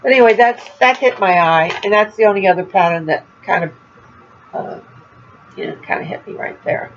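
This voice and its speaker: A woman's voice: medium pitch, moderate pace. An older woman chatting at home about her crochet projects, rambling and easygoing, with a stuffed-up nose and a small cough. The sound is a bit dull, with a faint hum of the room behind her. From Florida. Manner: chatty, rambling, laughs at herself. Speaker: crochet vlogger. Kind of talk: casual chat to camera.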